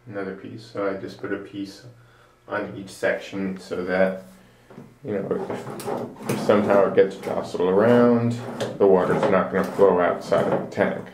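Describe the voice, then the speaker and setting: A man's voice: sits low, steady tone, a little mellow, reverberant, far from the mic, slight background noise. A man hosting a casual how-to video, explaining a plumbing build step by step as he works on it in his apartment. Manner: unhurried, explains step by step while working with his hands. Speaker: DIY video host. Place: apartment room and tiled bathroom, indoors.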